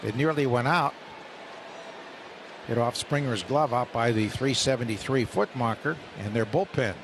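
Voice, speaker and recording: A man doing radio play-by-play of a baseball game, rising sharply in pitch when calling live action. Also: normal speed. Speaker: play-by-play announcer. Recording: radio broadcast with loud ballpark noise.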